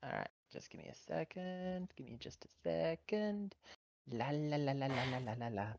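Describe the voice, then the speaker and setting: A woman's voice: deep voice, expressive, moderate pace, creaky, darkish tone, dry recording, muffled recording. A woman playing a tabletop game, casually narrating what her character does and chatting with the table.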